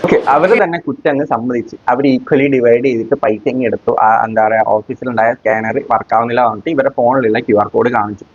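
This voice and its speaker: A man's voice: mid-range voice, quick pace, hardly any pauses, muffled recording. A man with a Kerala accent giving scornful, mocking, opinionated commentary as a YouTube commentator. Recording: rough, band-limited, roomy sound.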